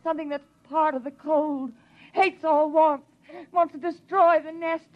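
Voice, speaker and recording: A woman's voice: sits high, fairly even intonation, measured pace. An actress playing a frightened, fragile mother who pleads with her husband in a 1950s radio drama. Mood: pleading. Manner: fearful, pleading, near tears. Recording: muffled 1950s radio broadcast.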